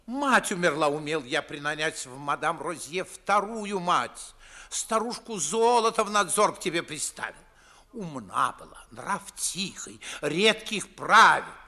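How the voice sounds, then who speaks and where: Pompous grey-haired official, scolding father, sharp bright voice, slow swooping declamation, 1950s radio theatre. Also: a little high, animated, exaggerated voice. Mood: aggrieved lecturing. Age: older. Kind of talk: 1950s theatrical radio play acting in verse.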